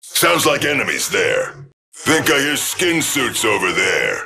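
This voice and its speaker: An actor playing a menacing, bloodthirsty killer robot with a harsh voice, calling out enemies in a video game. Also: male voice, on the low side, wildly swooping pitch, a little slow, vocal fry, pushed and bright, slight background noise, heavily acted. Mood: agitated.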